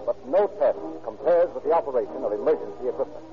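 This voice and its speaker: A man's voice: mid-range voice, animated, fast, narrow, tinny audio. Man, 1930s radio announcer, formal scripted delivery, declamatory, mid-range voice, music in the recording.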